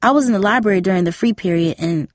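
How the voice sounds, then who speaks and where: A woman's voice: mid-range voice, fast, dry recording, clean studio sound, close to an everyday voice. A woman voicing an evasive, hesitant teenage schoolgirl in a story reading.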